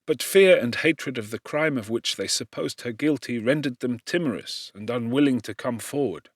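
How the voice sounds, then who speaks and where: Dry-sounding male audiobook narrator, expressive. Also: medium pitch, normal speed, no echo. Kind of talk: dramatic scripted narration of classic novel.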